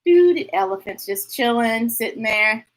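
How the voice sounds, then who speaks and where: Female children's read-aloud host, high-pitched and very animated, unhurried, talking straight to young listeners. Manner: playful, breaking into sing-song and sound effects. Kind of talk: animated picture-book read-aloud with chatty asides.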